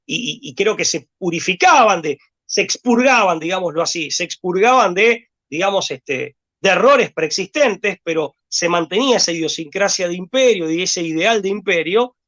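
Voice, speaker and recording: Argentine man from Rosario, high-pitched, thinks aloud with many fillers, muffled lo-fi audio. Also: expressive, moderate pace, a bit bright. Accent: Rosario Argentine Spanish. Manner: rambling, hesitant with repeated words and fillers. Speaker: guest. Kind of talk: discursive conversation.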